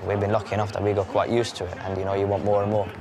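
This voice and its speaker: A young man from Norway, a jockey, giving relaxed, measured interview answers. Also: lowish voice, crowd noise.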